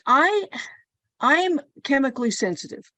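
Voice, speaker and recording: A woman's voice: sits high, big pitch swings, unhurried, bright, forceful tone, no room sound. A woman hosting a casual conversation, telling personal anecdotes in a chatty way. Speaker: podcast host.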